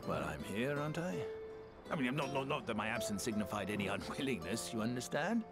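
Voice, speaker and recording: Man playing a blustering, swooping flustered gentleman. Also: medium pitch, big pitch swings, brisk, loud music behind the voice, natural voice. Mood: blustering, earnest. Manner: stammering, blustering, formal wordy speech. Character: flustered, doting, well-meaning gentleman about to be mayor. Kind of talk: video game character acting.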